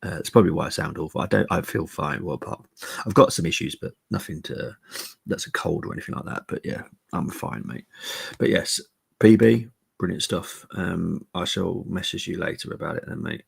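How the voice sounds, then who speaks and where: A middle-aged man hosting a live football preview stream, speaking quietly while chatting casually with viewers. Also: low-pitched, no echo. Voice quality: gravelly. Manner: keeping voice down, casual stats chat with viewers. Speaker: fan channel host.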